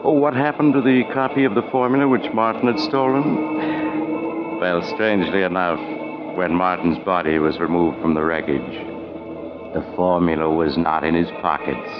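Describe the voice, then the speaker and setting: A man's voice: low-pitched, fairly even intonation, normal speed, lightly acted. A man playing a sly, mysterious traveler who hosts an old-time radio mystery with theatrical mock sympathy. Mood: sly, ominous. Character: sly, macabre mysterious male traveler on a train. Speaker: radio host narrator. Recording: muffled old radio, music behind.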